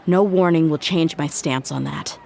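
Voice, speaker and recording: An actress playing a proud, independent young mercenary in a video game, speaking firmly, directly and politely. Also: female voice, deep voice, normal speed, creaky, darkish tone, slight background noise. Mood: resolute. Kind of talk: scripted video game dialogue.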